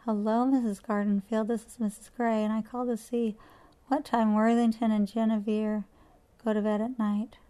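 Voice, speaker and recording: A woman's voice: a little high, flat delivery, moderate pace, warm tone, clean tone, natural voice, poor audio. A woman voicing a patient, tired mother speaking politely. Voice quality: polite and proper. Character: patient, weary mother, Mrs. Gray.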